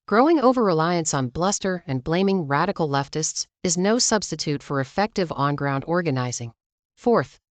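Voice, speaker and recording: A woman's voice: lowish voice, no room sound. A woman delivering scripted news narration as a channel's narrator.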